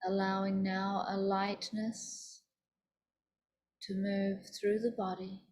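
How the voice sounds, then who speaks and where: Slow, even-toned woman guiding meditation. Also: medium pitch. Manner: soothing, deliberate, instructive. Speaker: yoga nidra teacher. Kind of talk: guided meditation.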